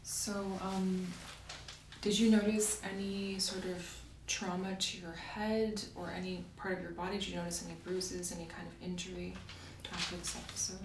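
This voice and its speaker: Woman, soft-spoken ASMR doctor roleplay, gentle instructions, roomy, slightly distant from the mic. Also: on the low side, little pitch movement, moderate pace. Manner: gentle, polite, instructing step by step. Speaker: ASMR performer playing a doctor. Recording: roomy sound, off-mic, faint hiss.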